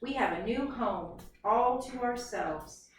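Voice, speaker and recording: A woman's voice: on the high side, lively intonation, slow pace. A woman voicing a weary, polite polar bear from a picture book who is searching for a home, in earnest first-person storytelling. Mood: relieved. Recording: very echoey room, distant mic, background noise.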